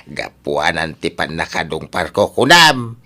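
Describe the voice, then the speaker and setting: A man's voice: a bass voice, sing-song, measured pace, pushed and bright, full character voice. A man acting as a wheedling, money-minded older father in an Ilocano radio drama, with an Ilocano accent. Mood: scheming, insistent.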